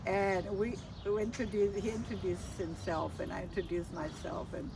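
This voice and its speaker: An older woman tells stories casually and with animation, slipping into bits of remembered dialogue. Her voice sits at a middling pitch. She is picked up from some distance, with clear outdoor noise around her. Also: moderate pace. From Texas, United States. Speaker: storyteller. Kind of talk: casual personal storytelling. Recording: distant mic, clearly noisy outdoor ambience.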